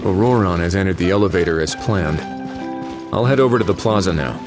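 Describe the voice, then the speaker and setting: An actor playing a calm, observant male strategist, measured and quietly wry, in video game dialogue. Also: deep voice, lightly acted. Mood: watchful musing. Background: music.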